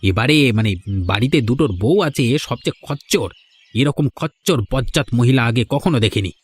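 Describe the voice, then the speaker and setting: A man's voice: medium pitch, lively intonation, normal speed, breathy edge, dry recording. A man voicing a landlord's sly, insolent errand man in a village cartoon, with a taunting, insinuating manner. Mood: spiteful. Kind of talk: cartoon voice acting. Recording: music behind the voice.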